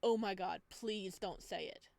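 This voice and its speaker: A woman hosting a let's play, reading a visual novel aloud with playful, expressive casual commentary. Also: medium pitch, unhurried, gravelly, no echo.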